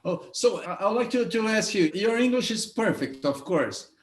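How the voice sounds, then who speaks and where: A man's voice: sits high, animated, normal speed, reverberant. A man with a Brazilian Portuguese accent, a native Portuguese speaker, interviewing a guest in a casual video call, often repeating his words. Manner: repeats words, stumbles, friendly.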